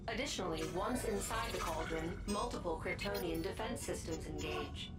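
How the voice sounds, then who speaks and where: Calm female computer acted by a woman for animation: exact, unemotional delivery, roomy and off-mic, under loud music. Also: lowish voice, moderate pace, lightly acted. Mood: clinical. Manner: clinical, precise. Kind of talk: animated film dialogue. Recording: echoey, distant, loud music behind.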